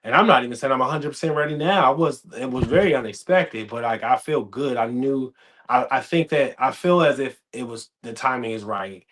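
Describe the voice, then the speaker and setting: A man in his thirties hosting a casual podcast chat, telling stories with lively acted-out quotes. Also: medium pitch, brisk. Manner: animated storytelling, acts out quoted dialogue. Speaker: podcast host.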